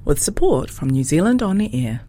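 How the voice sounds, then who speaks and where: Very low, mellow woman announcer over music. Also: very animated, brisk. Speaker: radio sponsor announcer. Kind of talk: scripted announcement.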